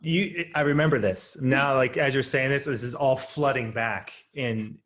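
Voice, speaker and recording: A man's voice: medium pitch, moderate pace, a bit bright. A man hosting an interview over a video call, rambling and enthusiastic with lots of filler words. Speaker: host, training company president. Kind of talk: interview conversation.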